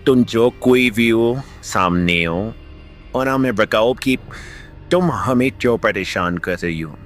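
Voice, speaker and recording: A man playing an earnest, expressive village farmer in a cartoon story. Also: fairly low pitch, slow pace. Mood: frightened. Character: two earnest village farmer friends, adult men. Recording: music behind the voice.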